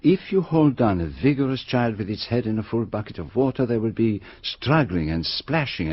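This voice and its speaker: A self-assured Belgian detective in a radio drama. His voice is low and breathy, with a Belgian French accent and deliberate phrasing. The recording is dry and somewhat dull. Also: dry recording. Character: assured, methodical Belgian male detective explaining the crime.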